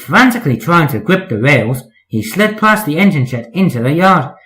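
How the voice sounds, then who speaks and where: A man reads a children's story aloud as narrator. His voice sits fairly high for a man, and his intonation rises and falls in a very animated way. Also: normal speed.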